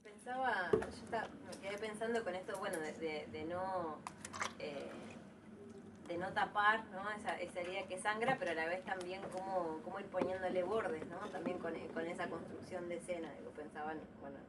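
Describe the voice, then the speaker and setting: A woman's voice: on the low side, a little fast, recorded from a distance. A woman with an Argentine Rioplatense accent in an informal interview, thinking aloud in a tentative, hesitant way. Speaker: interviewer from a hospital psychology residency. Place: room at a hospital talk. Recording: off-mic, clearly noisy room.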